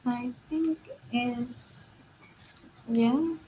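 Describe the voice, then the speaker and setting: Slow, high-voiced learner woman. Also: mellow, a little hiss or hum, rough recording. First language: Vietnamese. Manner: hesitant, brief answers. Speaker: English student. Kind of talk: repeating phrases after a tutor.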